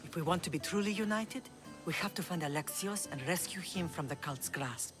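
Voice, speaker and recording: Actress as older Greek mother and island leader, grave, measured, guarded, commanding, game cutscene, background music. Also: on the low side, lightly acted. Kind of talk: dramatic video game cutscene acting.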